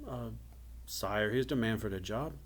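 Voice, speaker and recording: A man's voice: on the low side, measured pace. Man playing a hesitant, scrappy orphan boy. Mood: nervous pleading. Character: brave, scrappy, deferential orphan boy called sewer rat. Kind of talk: storybook character acting.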